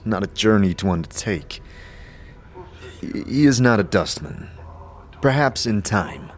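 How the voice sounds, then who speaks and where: A man's voice: low voice, heavily acted. A man playing a modest, hesitant, well-meaning adventurer in a fantasy audio drama, plain-spoken and unsure of his words.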